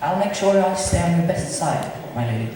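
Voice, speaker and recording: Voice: mid-range voice, expressive, fairly quick, mellow, natural voice. An amateur performer playing a polite, loyal male messenger in a stage comedy, speaking deferentially. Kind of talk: amateur stage acting of modernised Shakespeare comedy. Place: large hall, distant microphone at a stage play. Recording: echoey hall from far off, faint noise.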